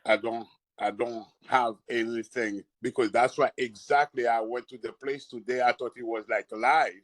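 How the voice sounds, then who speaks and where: A man's voice: medium pitch, fairly even intonation, moderate pace, no room sound, low-quality recording. A man from Haiti, a restaurant and bar owner speaking at a public meeting on a call, hesitant and searching for words. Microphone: phone or video call.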